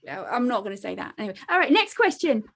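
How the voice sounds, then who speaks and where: A woman's voice: a little high, very animated, fast, gravelly, fairly bright tone. A woman hosting an interview show, asking questions in a playful, teasing way. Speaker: host. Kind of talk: casual interview chat.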